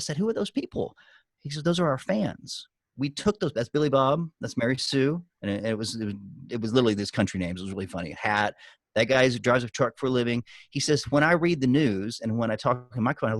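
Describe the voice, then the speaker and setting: A man's voice: mid-range voice, dry recording. Man, business coach, mid-range voice, emphatic, conversational, anecdotal, dry recording. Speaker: guest business coach and author. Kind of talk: giving marketing advice on a group video call. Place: online video call.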